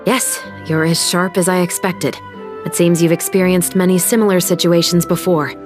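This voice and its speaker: A woman playing a composed, principled young musketeer officer in a video game, speaking in a measured, formal, earnest way. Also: on the low side, fairly even intonation, close to an everyday voice. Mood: composed, assured. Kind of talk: dramatic game voice acting. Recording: music behind the voice.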